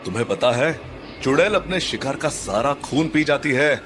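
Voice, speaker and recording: A man's voice: mid-range voice, expressive, moderate pace, background noise, voice pushed up, natural voice. A man playing a teasing young male friend in a horror audio story. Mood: spooky boasting. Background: music.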